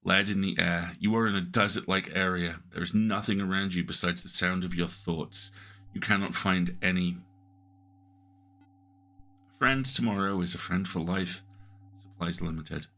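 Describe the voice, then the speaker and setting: Man, deep and gravelly, narrating a text game in a measured, moody read. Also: bright, forceful tone. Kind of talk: reading interactive fiction aloud on a stream.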